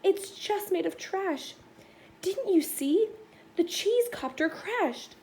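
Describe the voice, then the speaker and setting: A woman's voice: very high-pitched, expressive, takes it slowly, dark tone, voice pushed up, full character voice, rough recording. A woman voicing a shy, self-doubting young girl inventor in a storybook, dramatic and dejected. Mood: dejected, embarrassed. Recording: faint background noise.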